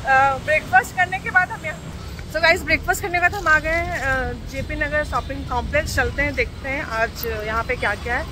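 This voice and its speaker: A woman's voice: high-pitched, fairly quick. A woman vlogger chatting casually to her viewers, mixing in English words as she goes. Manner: chatty, addresses viewers directly. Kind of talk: casual vlog chat mixing Hindi and English. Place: busy city street outdoors. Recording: loud crowd and traffic noise outdoors.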